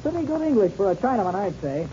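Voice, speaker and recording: Quick, skeptical young pilot. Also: male voice, high-pitched, big pitch swings, mellow. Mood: brisk, skeptical. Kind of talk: 1930s radio acting. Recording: muffled, noisy old radio serial recording.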